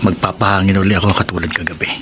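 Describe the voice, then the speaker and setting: A man's voice: deep voice, steady tone, very fast, noisy background, natural voice. An actor playing a curious, adventurous young man from the city in a radio drama. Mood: hushed, pensive. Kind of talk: radio drama acting with hushed inner narration.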